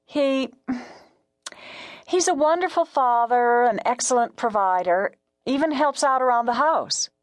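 A narrator playing a gushing female radio caller from an audiobook. Her voice sits high, and she speaks haltingly and bashfully, with eager politeness. The sound is close and dry. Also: no room sound, lightly acted. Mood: hesitant, guilty. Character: gushing, hesitant female radio call-in listener.

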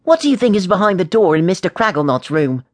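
A man's voice: high-pitched, sing-song, fast talker, no echo, pitched above the performer's own voice. A man voicing a curious, stubborn eleven-year-old boy in a storybook, with an eager, insistent manner. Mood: intrigued.

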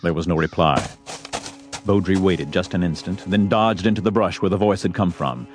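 A man's voice: deep voice, normal speed. A man narrating a Western story as a scripted audio drama. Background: music.

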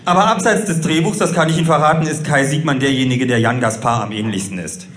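A man playing an author and former journalist, presenting his invented characters in a deliberate, theatrical announcing manner. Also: mid-range voice, crowd noise, lightly acted. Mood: presenting, dramatic. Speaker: host presenting characters on stage. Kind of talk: live staged audio drama presentation.

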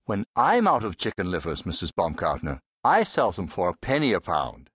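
A man's voice: deep voice, huge pitch swings, moderate pace, a bit bright, breathy edge, no echo, studio quality, voice pushed down, full character voice. A male narrator voicing a good-natured father who runs a butcher shop and jokes customers out of bad moods. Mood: teasing. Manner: easygoing, teasing. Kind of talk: audiobook character voice.

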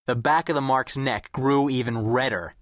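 A man's voice: mid-range voice, normal speed, no room sound, clean studio sound, lightly acted. An actor playing an observant, wry teenage boy who tells his own story as scripted audiobook narration. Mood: wry, descriptive. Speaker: first-person audiobook narrator.

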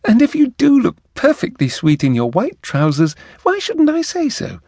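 A male reader voicing a playful, teasing young woman in an audiobook, with a coy, lilting falsetto. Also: wildly swooping pitch, moderate pace, creaky, darkish tone, dry recording, clean studio sound, full character voice. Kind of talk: audiobook character voice.

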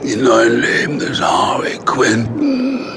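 An actor playing a cynical, weary hired killer in a German audio drama, halting, strained and sardonic. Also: male voice, mid-range voice, huge pitch swings, noisy background, exaggerated voice. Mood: dying, bitter irony. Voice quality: creaky, gravelly.

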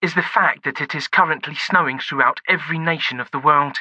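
A man's voice: on the high side, a little fast, bright, forceful tone, breathy edge, no echo, lo-fi sound, exaggerated voice. A male audiobook narrator voicing a polite female TV news reporter with a slight shiver, in a formal broadcast manner. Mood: formal newsreading.

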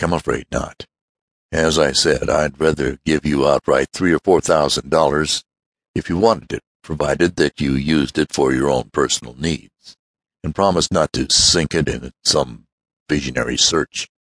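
A man voicing an aged male inventor who speaks in a measured, sensible way. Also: very deep voice, fairly even intonation, fairly bright tone, dry recording, exaggerated voice. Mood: firm.

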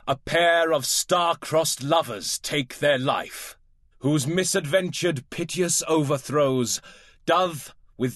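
Slow, solemn male Chorus of classical drama. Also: a little high, fairly bright tone, no echo, clean studio sound, exaggerated voice. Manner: measured, declamatory. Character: solemn male Chorus speaking a play's prologue. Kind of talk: classical stage verse recitation.

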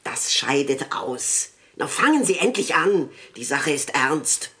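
An actress playing a stern, indignant elderly aristocratic lady in a German audio drama, speaking in a deliberate, imperious way. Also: low-pitched, big pitch swings, sharp tone, exaggerated voice. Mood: insistent. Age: older.

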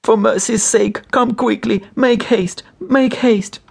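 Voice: mid-range voice, fairly even intonation, slow pace, creaky, slightly rough, pitched above the performer's own voice, exaggerated voice. A reader voicing a distraught young wife, pleading in a tearful, urgent way, in a story. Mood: frantic pleading.

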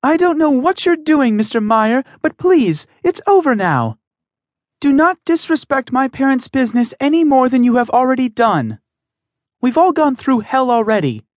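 Audiobook: male reader playing a fed-up young woman, high and shrill, dry close sound. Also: sing-song, moderate pace, darkish tone, no room sound. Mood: pleading firm. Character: defiant young woman, sharp-tongued and fed up.

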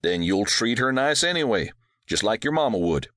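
A man playing a devout widowed rancher father from Texas, speaking softly and patiently to his young daughter. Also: fairly low pitch, very animated, moderate pace, dry recording, studio quality. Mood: patient, gentle. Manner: soft, patient, reassuring.

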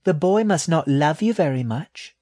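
Storybook baby rat, female, man performing: very high, thin, cheeky and proud, full of indignant questions. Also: very animated, a little slow, darkish tone, full character voice. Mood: pert, challenging. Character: tiny young female baby rat, feisty, proud, inquisitive. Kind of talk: children's audiobook character.

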